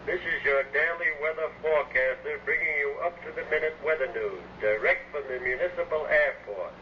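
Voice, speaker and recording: A man working as a weather forecaster, reading in a vintage radio style. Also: on the high side. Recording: muffled old radio recording, faint noise.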